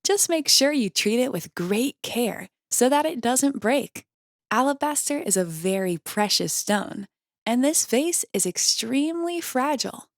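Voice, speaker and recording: A woman voicing a helpful male shop clerk who gently cautions, in a children's storybook. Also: a little high, animated, a little slow, no echo, clean studio sound. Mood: cautioning. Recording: clearly noisy background.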